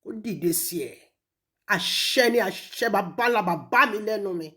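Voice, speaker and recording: A woman with a Nigerian Yoruba accent, speaking accusingly and emphatically in an impassioned public rebuke, with pointed pauses. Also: medium pitch, expressive, fairly bright tone. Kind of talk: impassioned public rebuke, switching between languages.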